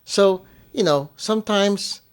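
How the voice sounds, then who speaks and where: A man's voice: high voice, slow, smooth. A young man talking as a parent co-host in casual storytelling, often repeating and rephrasing phrases for emphasis. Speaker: parent co-hosting a family show.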